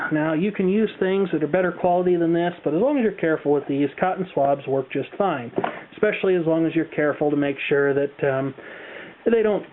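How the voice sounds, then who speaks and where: An American man presenting a casual how-to demonstration, explaining things in a chatty, careful way. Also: on the high side. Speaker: tutorial presenter. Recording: thin, phone-like band-limited sound.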